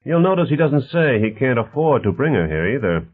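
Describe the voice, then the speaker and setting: A man's voice: mid-range voice, sing-song, fairly quick, pitched above the performer's own voice. A man voicing a genial, confident male executive in an audiobook, expansive, assured and hospitable. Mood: animated, teasing. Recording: narrow phone-like band.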